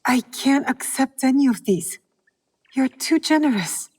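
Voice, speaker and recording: A young female shinobi from a historical video game, guarded yet earnest. Her voice sits fairly high, and she speaks in short, formal, polite phrases with plenty of reserve. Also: lively intonation, a little slow, a little mellow, slightly rough, full character voice. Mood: humble, polite. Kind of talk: video game cutscene acting.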